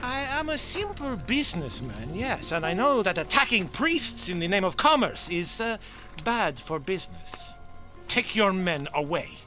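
A sly Spanish man of business in radio drama. His delivery is mock-polite and smug, in a high, animated voice. Music is clearly heard underneath. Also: measured pace, heavily acted. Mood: wry, smug. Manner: smooth, mock-polite, wry. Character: suave, sly Spanish slave-trading businessman.

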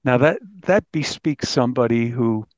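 A man's voice: medium pitch, normal speed, no echo. A man speaking as a journalist in a conversational interview, reflective as he pieces his account together.

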